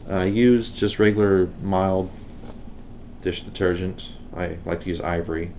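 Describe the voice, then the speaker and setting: A man giving a casual, unscripted how-to demonstration, talking haltingly and unhurriedly as he works. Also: low-pitched, steady tone, darkish tone, a little hiss or hum. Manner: unhurried, halting, thinking aloud while working. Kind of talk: casual unscripted hands-on tutorial.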